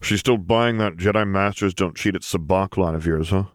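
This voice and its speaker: A man voicing a cocky middle-aged smuggler with a gruff, sardonic, grumbling way of talking in an audiobook story. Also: deep voice, moderate pace, no room sound, studio quality, natural voice. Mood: suspicious needling.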